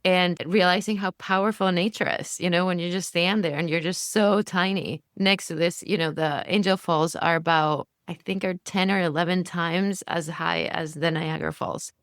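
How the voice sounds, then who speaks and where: A woman's voice: lowish voice, fairly even intonation, moderate pace, hardly any pauses, clear, resonant tone, no room sound, studio quality. A woman from Venezuela co-hosting a podcast, sharing her thoughts in a reflective, earnest way. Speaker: podcast co-host. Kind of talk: conversational interview.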